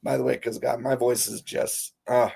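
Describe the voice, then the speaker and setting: Man, hoarse raspy voice, casual live-stream host, chatty, says folks, mid pitch. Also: flat delivery, moderate pace, dark tone. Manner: chatty, curses casually, calls viewers folks. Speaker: boxing commentary streamer. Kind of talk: casual live-stream commentary, reading viewer comments.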